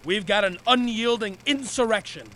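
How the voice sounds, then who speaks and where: A high-pitched, blustering security commissioner. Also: male voice, normal speed, sharp tone, slight background noise. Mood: blustering, defiant. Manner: pompous, bombastic, declamatory. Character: pompous, belligerent commissioner of public safety, blustering tough guy. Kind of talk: audio drama acting, satirical comedy.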